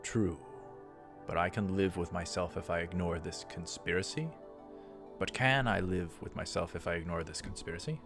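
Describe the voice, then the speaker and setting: Low-voiced man narrating a let's play. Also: normal speed. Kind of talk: narrated visual novel read-through with commentary. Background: music.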